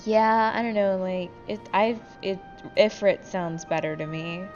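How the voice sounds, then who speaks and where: A woman's voice: medium pitch, unhurried, a little mellow, dull audio. A sleepy woman chatting casually and candidly with friends over gameplay, swearing freely as she goes. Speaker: gamer commentating with friends. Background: game music.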